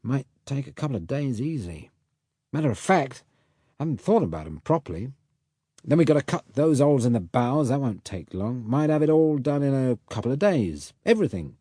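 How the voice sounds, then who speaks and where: A man voicing a rough Cockney boat mechanic who talks in blunt working-class slang. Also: medium pitch, big pitch swings, fairly quick, dark tone, dry recording, clean studio sound, voice pushed up. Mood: earnest.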